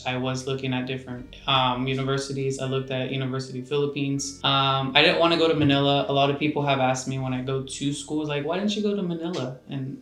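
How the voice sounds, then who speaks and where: A man's voice: mid-range voice, a bit bright. A man in his early twenties from the United States, answering interview questions casually with many fillers. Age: 20s. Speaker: guest. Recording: roomy echo, faint music behind.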